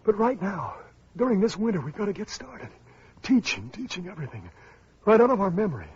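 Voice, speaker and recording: An actor playing an ailing, earnest man who speaks haltingly in an old-time radio drama. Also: high voice, big pitch swings, a little mellow, husky, heavily acted. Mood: earnest, feverish. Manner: halting, repeats words, earnest. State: ill, weak. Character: ailing, earnest male survivor, feverish idealist about teaching. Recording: muffled lo-fi old radio recording.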